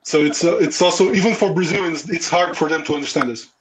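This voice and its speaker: A man's voice: on the high side, fast talker, a loud crowd, rough recording. A man from Portugal, a native Portuguese speaker, chatting casually and animatedly in a group.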